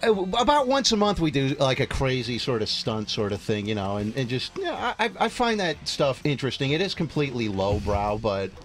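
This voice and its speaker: A man in his thirties hosting a morning radio interview from a small phone screening room, animated and laughing often. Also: medium pitch, brisk, hardly any pauses. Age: 30s. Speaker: radio host.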